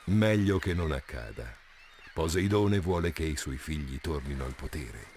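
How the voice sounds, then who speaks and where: Video game villain cyclops: deep voice, scheming and condescending, some noise behind. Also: male voice, expressive, darkish tone. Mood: commanding. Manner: deliberate, condescending.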